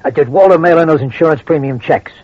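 An actor playing a smooth, sinister man plotting a murder in a 1940s radio drama. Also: on the high side, fast talker. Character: smooth middle-aged criminal plotter Phil. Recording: dull old radio transcription.

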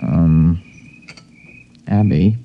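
An actor playing an educated, self-serving scholar, insistent, bookish and given to stammering, in 1950s radio drama style. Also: male voice, low voice, steady tone, very slow, full character voice. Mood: awkward, hesitant. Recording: dull lo-fi old radio recording.

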